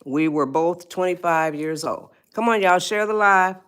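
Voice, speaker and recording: An elderly woman in her eighties talks to her live stream audience at an unhurried pace. She calls her viewers y'all, laughs easily and circles back when she loses her thread. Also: on the low side, fairly bright tone, clean tone. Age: 80s.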